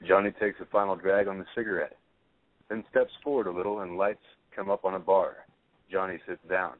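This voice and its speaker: A man with a fairly low voice reads stage directions as scripted narration. The audio is narrow and tinny, like a phone line. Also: steady tone, brisk, dry recording.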